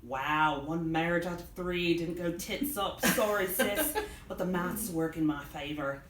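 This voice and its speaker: A woman's voice: fairly low pitch, a little slow, a bit bright. Woman reading as a sardonic jilted bride, roomy. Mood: bitter. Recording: roomy live reading, background noise.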